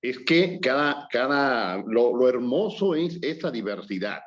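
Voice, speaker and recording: A man from the Dominican Republic with a Dominican Spanish accent, speaking reflectively in a relaxed conversation, often pausing with fillers. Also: mid-range voice. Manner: reflective, hesitant with fillers and restarts. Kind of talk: casual conversation on culture and language. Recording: slightly dull lo-fi audio.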